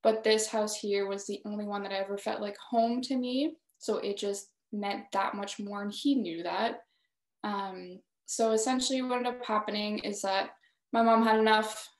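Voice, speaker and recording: A woman's voice: medium pitch, steady tone, normal speed, reverberant. A young woman artist on a video call, talking hesitantly with many fillers. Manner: hesitant, many ums and "essentially". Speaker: exhibiting artist. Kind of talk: panel discussion. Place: home room, indoors. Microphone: video call laptop mic.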